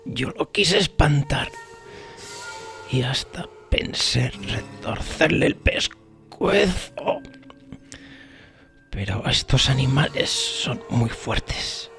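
A man's voice: on the high side, creaky, fairly bright tone, heavily acted. A man playing a tormented, helpless man in an audio story, theatrical and pleading.

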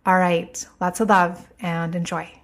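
A woman hosting a podcast, speaking a little stuffed up from a cold, earnest and slow on her emphatic phrases. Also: fairly low pitch, flat delivery. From United States. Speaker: podcast host. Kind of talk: podcast intro monologue.

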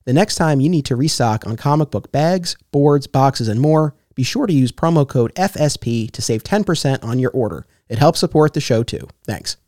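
A man's voice: medium pitch, animated, normal speed, hardly any pauses, darkish tone, no room sound, studio quality. A man hosting a podcast, reading sponsor spots and then interviewing a guest in an attentive, friendly way. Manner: friendly, attentive, clear presenter. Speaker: podcast host. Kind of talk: read ads then casual interview.

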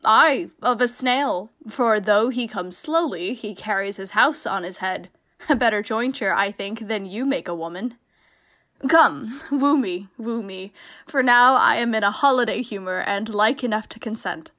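A woman's voice: mid-range voice, unhurried, a bit bright, natural voice. A woman playing a witty, commanding young heroine in a Shakespeare radio comedy, teasing and mock-stern. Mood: playful, teasing. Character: witty, commanding young woman, playfully testing her suitor.

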